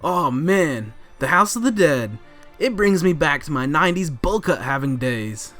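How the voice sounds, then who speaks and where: American guy in his 30s doing countdown commentary, super animated and exasperated, music underneath. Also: fairly high pitch, a little slow. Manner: exasperated, theatrical ranting. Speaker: co-host of a countdown show.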